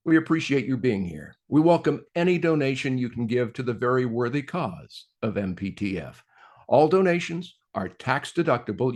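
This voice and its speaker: A man presenting a scripted introduction to a radio production in a steady, courteous way. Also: medium pitch. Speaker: announcer and presenter.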